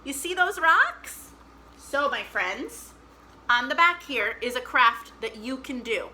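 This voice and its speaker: A woman hosting a children's storytime, chatty and animated, speaking directly to young children. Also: high voice, slow pace, a little hiss or hum.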